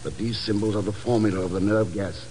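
Shrewd secret agent male actor, deep-ish, terse and cool, 1950s radio acting, lightly noisy vintage recording. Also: fairly even intonation, fast talker, a little mellow, close to an everyday voice. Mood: probing, cool. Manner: terse, probing, matter-of-fact.

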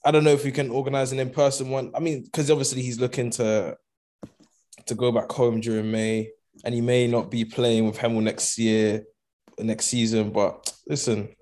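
A man's voice: mid-range voice, flat delivery, moderate pace, darkish tone. A young man around twenty co-hosting a podcast, easygoing and slangy in casual chat. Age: young adult. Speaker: podcast co-host.

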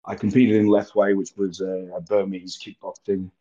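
A young Australian man with a fairly low voice chats loosely as an interview guest, drifting through long stories and laughing easily. His voice comes through a remote call, muffled and lo-fi, with the top end dulled. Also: normal speed. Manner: relaxed, rambling, laughs easily. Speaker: guest wrestler. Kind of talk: casual interview chat.

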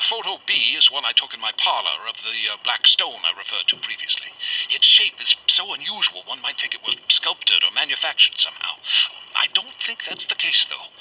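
Rural amateur investigator, radio drama, forceful bright tone, lively pitch, folksy, hesitant, earnest, old noisy cylinder recording. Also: male voice, husky, exaggerated voice. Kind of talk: radio drama acting, spoken letter with hesitations.

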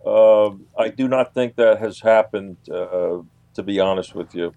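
An older man giving halting interview answers, often restarting his phrases. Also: fairly low pitch, warm tone, dry recording, muffled recording. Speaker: guest, UFO investigator and author.

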